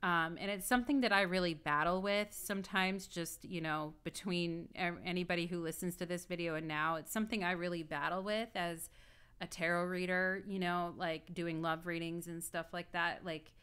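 Woman in her 30s musing on camera at home, kinda low voice but bright, lots of like and you know. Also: fairly even intonation, moderate pace, clean tone. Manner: rambling and reflective, full of "like" and "you know". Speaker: astrologer and tarot reader. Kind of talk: casual video talk. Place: indoor room at home.

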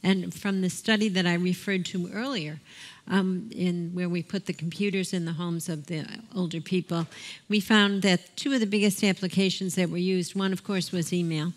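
Woman on a panel, lowish voice, calm measured explaining, headset mic. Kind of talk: panel discussion answer. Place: conference hall stage.

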